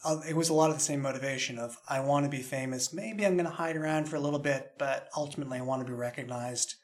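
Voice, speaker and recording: A man's voice: medium pitch, quick pace. A man hosting a casual roundtable chat as a show creator, talking in a rambling, jokey way.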